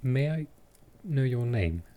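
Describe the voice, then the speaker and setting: Man playing a slow, gentle vampire lord. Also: medium pitch, sing-song. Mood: courtly, reassuring. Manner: soft, slow, formal, halting. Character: gentle old-world male vampire lord, protective and courtly. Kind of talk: roleplay audio drama, intimate monologue.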